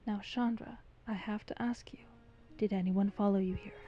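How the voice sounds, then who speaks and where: A woman acting anxious, emotional female characters in a dramatized fantasy story, with halting delivery. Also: medium pitch, steady tone, lo-fi sound, natural voice. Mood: earnest, urgent.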